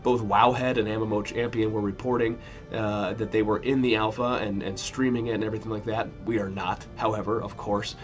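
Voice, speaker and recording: A man in his thirties hosts a casual gaming news roundup, his voice sitting on the low side and a little stuffy from a cold he is still shaking off. He talks in a loose, rambling way, stopping to correct himself. Clear music plays behind him. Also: fairly quick. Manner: relaxed, rambling, self-correcting. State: recovering from a cold, sniffly. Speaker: weekly gaming news host.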